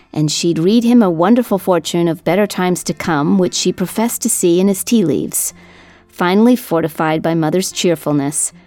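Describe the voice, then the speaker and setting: A woman reading scripted storytelling narration for a folk history podcast in a measured, literate manner. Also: lowish voice, dark tone, no room sound. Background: music under the voice.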